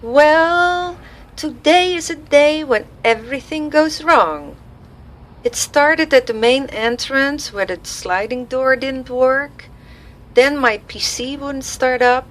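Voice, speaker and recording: A woman's voice: high voice, takes it slowly, fairly bright tone, pitched above the performer's own voice. A woman voicing a stressed, grumpy older female receptionist who complains in exasperation. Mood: exasperated.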